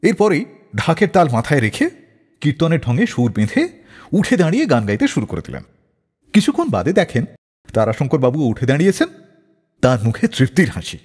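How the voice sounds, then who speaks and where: A man's voice: on the high side, wildly swooping pitch, fairly quick, pauses often, a little mellow, husky, in a large hall. A man hosting a radio show, narrating scripted stories in a dramatic storyteller's way. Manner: dramatic storyteller, acts out quoted dialogue.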